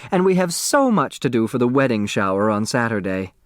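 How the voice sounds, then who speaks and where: A man's voice: medium pitch, big pitch swings, brisk, no echo, clean studio sound, close to an everyday voice. A man voicing a pretentious, managing mother who fancies herself a decorator, in an audiobook. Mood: sweetly bossy. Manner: affected, managing. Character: pretentious society mother, a self-styled decorator.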